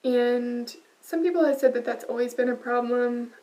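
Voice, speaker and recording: A quiet-voiced woman hosting a casual knitting podcast, soft-spoken and full of small ums and uhs. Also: on the high side, moderate pace, recorded from a distance. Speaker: podcast host.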